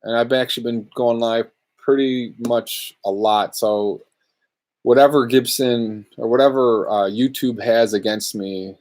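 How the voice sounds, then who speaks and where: A man's voice: mid-range voice, steady tone, a little slow, a little mellow. A man hosting a live luthier Q&A from his workshop, chatting casually and rambling through viewer questions. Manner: laid-back, rambling, answers viewer questions. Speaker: luthier podcast host.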